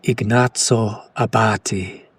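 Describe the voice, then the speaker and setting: A man acting a slow, hushed, breathy yandere kidnapper. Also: fairly low pitch, steady tone, dry recording, exaggerated voice. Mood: hushed, admiring. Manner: hushed, condescending, lingering over names. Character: condescending yandere male kidnapper, smitten with his captive. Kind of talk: whispered ASMR roleplay, slow soft recitation.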